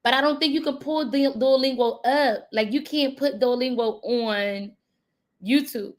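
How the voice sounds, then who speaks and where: Relaxed woman hosting a casual livestream. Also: sits high, a little slow. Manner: relaxed, laughs easily, short prompting replies. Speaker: livestream host. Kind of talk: casual live chat.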